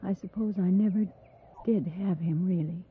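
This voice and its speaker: Sad tough frontier lady, thirties, low breathy voice, halting and solemn, old muffled radio drama sound. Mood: subdued, wistful. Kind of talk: 1950s radio drama acting. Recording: muffled vintage 1950s radio recording.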